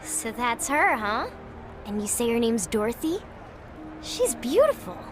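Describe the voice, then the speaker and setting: A bubbly high-voiced teenage girl. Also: big pitch swings, a little slow, noisy background. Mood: intrigued. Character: bubbly, teasing, outspoken teenage girl teammate. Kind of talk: video game cutscene acting. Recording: music behind the voice.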